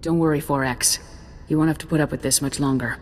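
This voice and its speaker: A woman playing a cool, confident army lieutenant in a video game, calm and matter-of-fact. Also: sits low, fast talker, a little hiss or hum, lightly acted. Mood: steady, resolute. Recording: music behind the voice.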